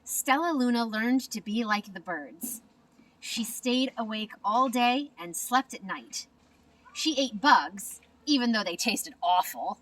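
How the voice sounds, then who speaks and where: A woman narrating a children's storybook in an expressive, deliberate manner. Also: on the high side, distant mic, poor audio. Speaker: storybook reader.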